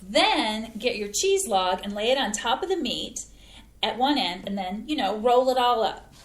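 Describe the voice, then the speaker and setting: A woman's voice: fairly high pitch, animated, normal speed, close to an everyday voice. Folksy, eager-to-please mom who loves her own cooking, read by a woman; bouncy delivery, echoey stage. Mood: chatty. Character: cheerful homey mother, proud eager home cook. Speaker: stage reading actor. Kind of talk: community theater staged reading. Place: small community playhouse stage.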